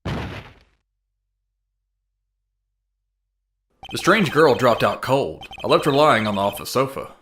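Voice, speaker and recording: A man's voice: medium pitch, expressive, normal speed, a bit bright, a little hiss or hum, natural voice. A man playing an earnest, jumpy young defense attorney in a dramatic game fandub. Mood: grieving, subdued. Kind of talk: dramatic game fandub, inner monologue and dialogue. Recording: music under the voice. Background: game music.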